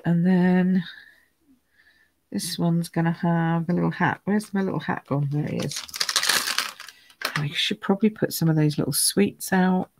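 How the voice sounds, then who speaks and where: A woman with a croaky voice, chatting through a live card-making demonstration at her craft table, greeting viewers by name as she stamps and colours. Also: lowish voice, fairly even intonation, unhurried, long pauses, noisy background. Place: home craft room.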